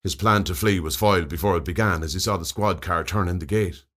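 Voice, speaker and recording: A man with an Irish accent reading a comic short story aloud in an expressive, wry way. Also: sits low, fairly quick. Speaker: story reader.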